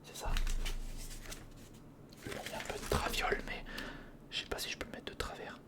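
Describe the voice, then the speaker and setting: An ASMR creator, speaking in a breathy whisper close to the microphone, casually talking through hands-on work and repeating short phrases. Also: a little fast. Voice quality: breathy, mostly unvoiced whisper. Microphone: close studio recorder microphone.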